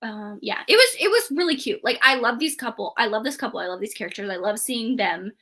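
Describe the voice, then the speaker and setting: A woman co-hosting a book discussion, chatting casually in an animated, emphatic, informal way. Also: fairly high pitch, fast. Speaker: book review show co-host. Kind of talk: casual chat about books.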